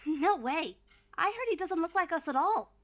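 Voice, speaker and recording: A woman voicing a bossy, excitable young mouse child in an audio drama, talking in an impatient, emphatic way. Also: extremely high pitch, moderate pace. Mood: eager, confiding. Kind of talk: audio drama cartoon acting.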